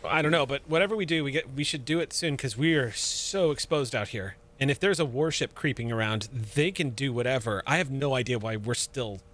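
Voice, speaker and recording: Man, mid-range voice, halting in-character player; nervous pilot, lots of restarts. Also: mid-range voice, fairly bright tone. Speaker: tabletop player voicing a pilot. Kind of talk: in-character roleplay, casual.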